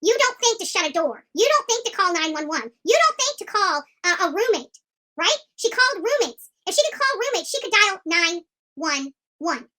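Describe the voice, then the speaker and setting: A commentator with an extremely high-pitched voice and a sharp, bright edge. The delivery is opinionated true crime commentary, combative and emphatic, with points hammered home by repetition. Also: very animated, normal speed. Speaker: online commentator.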